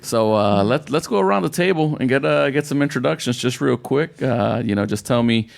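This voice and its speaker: A man's voice: mid-range voice, expressive, a little fast, creaky. An American man, a youth football coach, hosting a casual podcast with upbeat, repetitive chatter. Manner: upbeat, repeats himself, says outstanding a lot.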